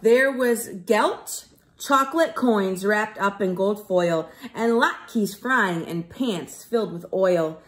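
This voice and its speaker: A woman teacher reading aloud to young children in an expressive storytelling style, explaining things simply. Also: mid-range voice, takes it slowly.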